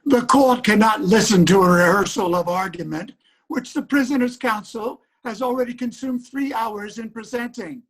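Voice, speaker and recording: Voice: mid-range voice, normal speed, close to an everyday voice. Performer playing a stern, commanding courtroom judge. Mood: stern, insistent. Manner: formal, commanding, insistent. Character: stern, impatient 19th-century judge presiding at a trial. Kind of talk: reader's theater courtroom drama.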